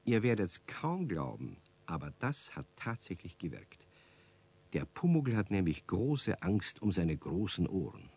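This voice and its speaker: A man narrating a children's radio play as a friendly, dryly amused storyteller with a deep, husky voice. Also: big pitch swings, a little slow, a little mellow, exaggerated voice. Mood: wry, calm.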